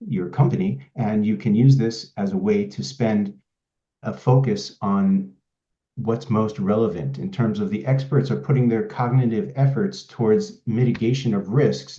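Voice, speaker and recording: A middle-aged man with a Swedish accent, a consultant giving a measured, explanatory webinar presentation with frequent restarts. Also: fairly low pitch, mellow. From Sweden. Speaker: pharma quality consultant presenting a demo. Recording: roomy, slightly dull audio.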